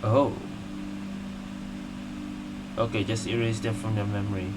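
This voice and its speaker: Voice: mid-range voice, level tone, a little slow, background noise. A gaming streamer giving casual playthrough commentary, reacting to the game and repeating its dialogue aloud. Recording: loud game music behind voice. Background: game music.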